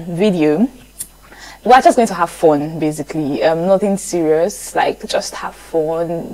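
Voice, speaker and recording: A woman's voice: fairly low pitch, darkish tone, rough recording. A young woman from Lagos with a Nigerian accent, chatting casually to viewers and repeating phrases for emphasis. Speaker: vlogger.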